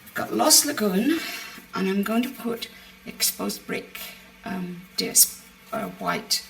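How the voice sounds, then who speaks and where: Croaky gravelly lady chatting thru a card craft demo, roomy sound, mic a bit far off. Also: medium pitch, expressive, takes it slowly, a bit bright. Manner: chatty, narrates each step with fillers. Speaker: card-making host. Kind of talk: casual craft demonstration. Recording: roomy, mic at a distance, faint background noise. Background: birds outside.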